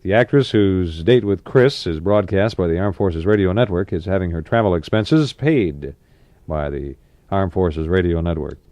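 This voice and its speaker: A man's voice: low voice, normal speed, a little mellow, no room sound. An American man working as a 1960s AM radio DJ, reading announcements in a conversational announcer style with short asides. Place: radio studio.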